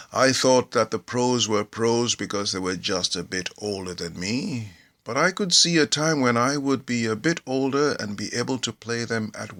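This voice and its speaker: Slow, bright-voiced male reader from Nigeria. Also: mid-range voice, creaky. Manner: measured, theatrical storytelling delivery. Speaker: actor reading a book aloud. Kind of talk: scripted narration of a humorous memoir.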